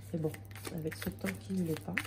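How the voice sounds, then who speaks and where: A woman's voice: low voice, fairly even intonation, moderate pace, heavy background noise. A woman with a slight cold giving a casual tarot reading to her viewers, talking in a hesitant way with many fillers and restarts. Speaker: tarot card reader.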